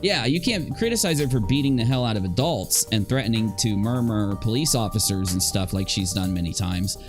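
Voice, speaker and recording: A man's voice: on the low side, expressive, fairly quick, music in the background. A man hosting a commentary show, reacting in a casual, critical way with a sarcastic, mocking and emphatic delivery. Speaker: YouTube commentary show host.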